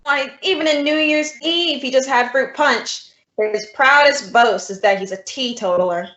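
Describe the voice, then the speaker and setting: Actress as witty female teacher, deadpan sarcasm, radio sitcom, muffled and echoey recording. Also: sits high, normal speed. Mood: dry, questioning. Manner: dry, sardonic. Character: wry, quick-witted woman schoolteacher. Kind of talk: old-time radio comedy acting. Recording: echoey room, dull audio.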